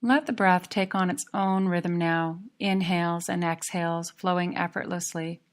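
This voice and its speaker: Woman calmly guiding relaxation, lowish voice. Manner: calm, measured, soothing instruction. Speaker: yoga nidra relaxation guide. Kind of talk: guided meditation narration.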